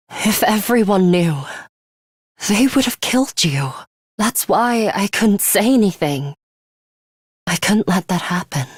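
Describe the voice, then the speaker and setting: Video game role: composed, coolly ruthless young female student fighter, deliberate slow delivery, dry studio sound. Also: fairly low pitch, slightly rough, no room sound, heavily acted. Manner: measured, serious, deliberate. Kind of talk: English video game character voice lines.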